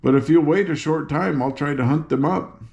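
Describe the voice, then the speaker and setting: A man's voice: a little high, moderate pace, vocal fry, a little mellow, dull audio. A man voicing a hapless, mild-mannered soldier who talks in an earnest, apologetic way.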